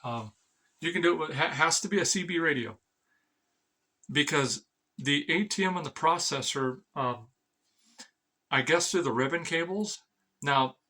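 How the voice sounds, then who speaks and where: A man's voice: medium pitch, pushed and bright. A man giving a rambling, informal explainer as an amateur vlogger, blunt and sweary, often stammering over repeated words.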